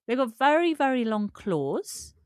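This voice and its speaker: A woman's voice: on the high side, very animated, measured pace, darkish tone, clear, resonant tone. A woman co-hosting a podcast, chatting casually and sharing facts in an enthusiastic, emphatic way. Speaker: podcast co-host.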